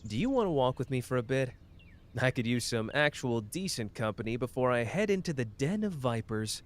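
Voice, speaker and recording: A man's voice: medium pitch, lively intonation, brisk, lightly acted. A man playing a cocky, playful young sorcerer teacher in an ASMR roleplay, breezy and teasing as he speaks to the listener. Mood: breezy, casual. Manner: breezy, teasing, self-assured.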